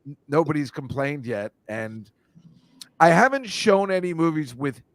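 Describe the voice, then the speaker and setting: A man's voice: fairly high pitch, animated, measured pace, no room sound. A man, a comedian and film teacher, rambling in casual podcast chat and slipping into movie bits. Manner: rambling, slips into movie impressions.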